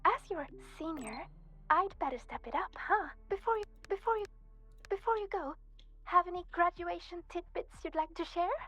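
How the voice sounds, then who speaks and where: High-pitched, eager student girl. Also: animated, moderate pace, a bit bright, dry recording. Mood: playful, teasing. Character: friendly, eager young female academy student. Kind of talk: scripted video game voice acting. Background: background music.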